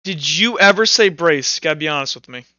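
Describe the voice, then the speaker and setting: A man running a tabletop roleplaying game as game master, narrating casually and haltingly as he thinks aloud. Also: fairly high pitch, lively intonation, pushed and bright, no room sound, lo-fi sound.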